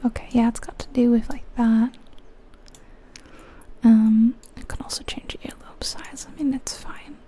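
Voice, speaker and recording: A woman whispering breathily in an ASMR style, musing aloud in an unhurried, soft way as she comments on a game. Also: fairly high pitch, steady tone, husky. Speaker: ASMR video creator.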